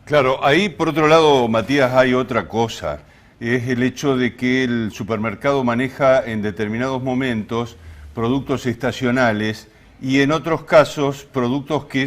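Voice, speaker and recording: An Argentine man co-hosting an interview, feeling his way through long questions with halting, roundabout phrasing. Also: medium pitch. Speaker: co-host, interviewer.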